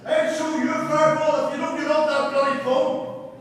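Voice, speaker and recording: A man acting an impatient prisoner in a radio drama. His voice is very high-pitched and rings with heavy echo. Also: little pitch movement, moderate pace, a bit bright. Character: impatient male prisoner shouting in phone queue. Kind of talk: radio play dialogue. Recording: very echoey space.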